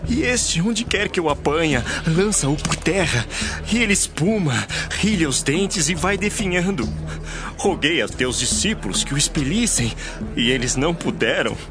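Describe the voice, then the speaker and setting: Man acting anguished pleading father, high voice. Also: animated, normal speed, music in the background, lightly acted. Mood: distressed. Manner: imploring, emotional. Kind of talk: dramatized scripture audio acting.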